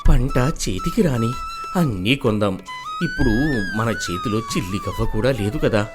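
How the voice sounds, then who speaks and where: A man's voice: mid-range voice, wildly swooping pitch, normal speed, music in the background. A man acting a poor, weary village farmer in a moral story, humble, pleading and sorrowful. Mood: anxious.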